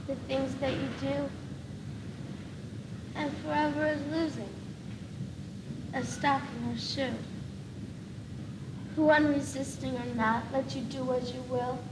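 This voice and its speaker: A young girl playing a frail, reproachful old nursing-home woman, reciting a dramatic poem with halting phrasing. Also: sits high. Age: young teenager. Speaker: student actor in a school one-act play. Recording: echoey, distant, noisy 1980s school video.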